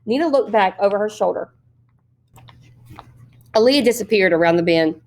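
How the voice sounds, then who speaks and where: Woman, medium pitch, expressive read-aloud narration, story reader. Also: normal speed.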